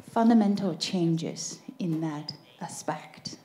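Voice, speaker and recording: A woman asks a careful question from the audience in a large hall, her voice low and mellow. She speaks slowly and the room echoes around her, with a faint hum behind. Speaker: audience member asking question.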